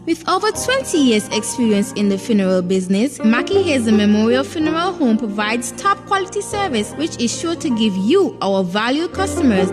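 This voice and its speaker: A woman announcer reading a scripted commercial voice-over. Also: fairly high pitch. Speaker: advertisement announcer. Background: music bed.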